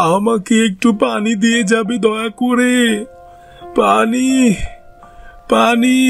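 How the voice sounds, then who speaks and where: A man's voice: high voice, creaky, full character voice. A man voicing a desperate, pleading king in a fairy-tale cartoon, plaintive and humble.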